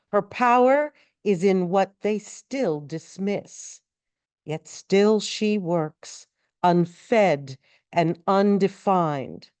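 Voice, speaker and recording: Slow, clear-voiced woman reciting poetry. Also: fairly low pitch, darkish tone, no room sound. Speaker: poetry reader.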